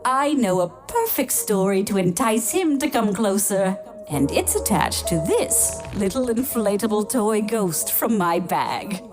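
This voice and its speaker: A woman hosting a children's story podcast with a playful, theatrical, deliberate scripted introduction. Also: mid-range voice, big pitch swings. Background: music.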